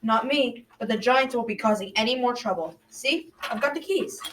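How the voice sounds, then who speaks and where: A woman's voice: fairly high pitch, moderate pace, fairly bright tone, natural voice. A school-age girl playing a brave, earnest young lad in an amateur fairy-tale radio drama. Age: child about 12 to 13. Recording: echoey room, distant mic, clear noise.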